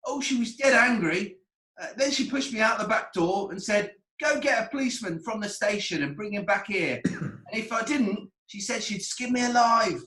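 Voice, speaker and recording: Man playing a high-voiced, nervous young Yorkshire lad. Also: animated, moderate pace, pushed and bright, roomy sound, poor audio. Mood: nervous, deferential. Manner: hesitant, deferential, self-correcting. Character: cheeky eight-year-old working-class Bradford errand boy witness. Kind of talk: comic courtroom play acting.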